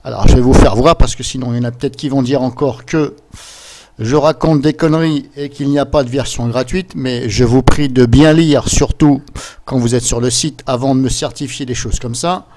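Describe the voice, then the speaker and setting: A man presenting a casual screen-capture software tutorial, explaining things step by step in an informal, chatty way. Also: medium pitch, moderate pace. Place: voice-over recorded at a computer during screen capture.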